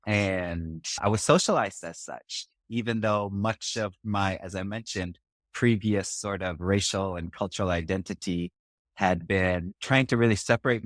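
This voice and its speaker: A middle-aged man from Singapore with a very low, deep voice, speaking as a podcast guest. He tells his story in a measured, reflective way, pausing on fillers. The sound is dry and close, with no room around it. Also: no room sound. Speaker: podcast guest, community organizer. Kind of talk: conversational storytelling interview.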